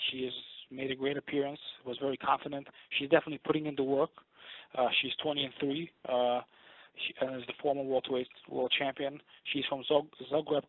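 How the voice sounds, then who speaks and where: A man's voice: medium pitch, dry recording. A man moderating a press call over the phone, making introductions in a hesitant, semi-formal way. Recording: narrow phone conference line.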